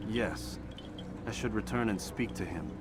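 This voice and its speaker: An actor playing a stern, brooding young assassin in a medieval video game, speaking in terse, measured lines. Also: male voice, on the low side, very noisy, lightly acted. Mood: troubled.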